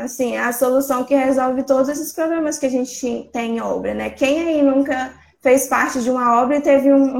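A woman from Espírito Santo talks as an interview guest in a high voice with a gravelly edge. She explains things earnestly and conversationally, often checking in with the listener. The video-call audio has a roomy echo. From Espírito Santo, Brazil. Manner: earnest, conversational, steady tag questions. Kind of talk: casual explanatory talk on a live stream.

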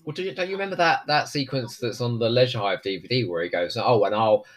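A man hosting a casual chat, commenting while they watch an episode, joking with quick asides. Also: medium pitch. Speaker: podcast host.

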